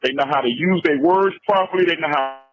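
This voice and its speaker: A man's voice: a little high, fairly quick, a little hiss or hum. A man talking casually on a phone call, emphatic and often repeating his phrases. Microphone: telephone. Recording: dull, lo-fi jail phone call.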